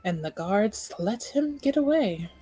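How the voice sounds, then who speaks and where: A woman's voice: mid-range voice, lively intonation, measured pace, dark tone, slightly rough, faint music behind, low-quality recording. A woman playing a coy, gossipy masked lady in an improvised tabletop roleplay, with a theatrical, faux-scandalized manner.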